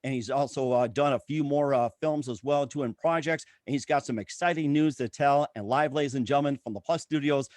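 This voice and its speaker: A man's voice: mid-range voice, a little fast, few pauses, darkish tone, no echo, studio quality. A man hosting a talk show, reading plugs and introductions in an announcer-like way with stock phrases. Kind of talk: promotional show host talk, ad reads and guest introduction.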